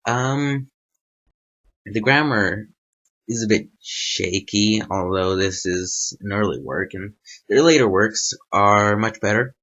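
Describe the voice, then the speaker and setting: A man's voice: fairly low pitch, a bit bright, highs cut off. A man giving an informal spoken review as a host, rambling and hesitant as he thinks aloud. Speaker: host of a fanfiction reading series.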